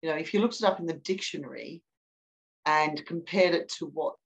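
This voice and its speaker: A woman's voice: deep voice, brisk, vocal fry, rough recording. A woman with an accent between English and Australian, hosting an interview podcast and giving an encouraging, attentive ear to her guest. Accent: mixed English and Australian. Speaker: podcast host. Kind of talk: hosted interview.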